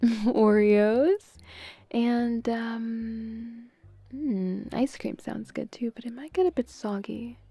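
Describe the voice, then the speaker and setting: A woman voicing a bubbly, playful, affectionate young girlfriend in an intimate audio roleplay, with a giggly, breathy, coaxing manner. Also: a little high, steady tone, slow, warm tone, dry recording, faint music behind, full character voice. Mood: cheerful ordering.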